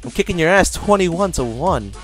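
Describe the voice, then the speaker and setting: A man's voice: a little high, moderate pace, faint music behind. Sarcastic young gamer man taunting. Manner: mocking, sarcastic, deadpan jokes, bursts of laughter. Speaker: gamer trolling an online opponent. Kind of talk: taunting party chat over gameplay.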